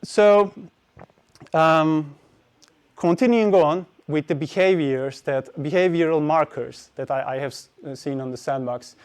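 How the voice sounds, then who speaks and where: A man's voice: a little high, measured pace, a little mellow, clear, resonant tone. A man giving a technical conference talk, hesitant, with many fillers and restarts. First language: Turkish. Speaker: conference speaker.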